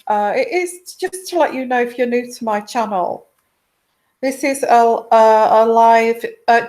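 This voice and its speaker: A woman hosts a live intuitive reading stream, her voice quiet and strained by a sore throat. She talks slowly and unhurriedly, stumbling through fillers and restarts as she greets viewers. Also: on the high side. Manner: hesitant, many ums and restarts. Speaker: host of a live tarot reading show. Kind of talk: casual live-stream chat.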